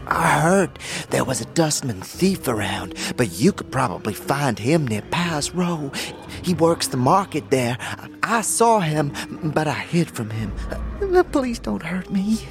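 A woman's voice: sits low, lively intonation, measured pace, over music. A woman playing a frightened, hesitant debtor in an audio drama, stammering and pleading as she speaks. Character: nervous, frightened woman deep in tavern debt.

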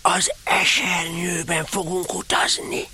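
An actor playing a humble, slow-witted little toy bear with a hesitant, halting delivery in a children's audio drama. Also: male voice, high voice, expressive, bright, forceful tone, slightly rough, exaggerated voice. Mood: simple, earnest.

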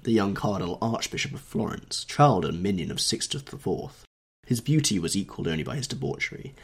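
A man with a cold narrates a classic novel in a low, gravelly voice. His tone is husky and a little rough, and he reads long sentences with measured drama. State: has a slight cold. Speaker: audiobook narrator, podcast host. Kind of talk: scripted literary narration.

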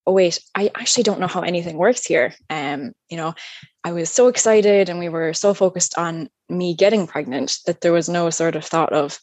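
A woman in her thirties from upstate New York with an American accent, telling her personal story as a podcast guest, thoughtful and trailing off softly. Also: lowish voice, moderate pace, no echo. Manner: thoughtful, many fillers, soft trailing endings.

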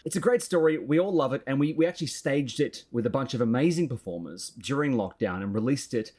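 A man's voice: medium pitch, animated, fast talker, darkish tone, husky. An Australian man hosting a casual podcast chat, with wry, joking asides. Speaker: podcast host.

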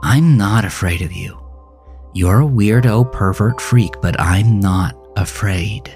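Guy reading as a tough wary woman, blunt n teasing, audio story w/ soft music. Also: on the low side, slow pace. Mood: defiant. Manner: blunt, challenging. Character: wary, bold, teasing woman confronting an awkward driver.

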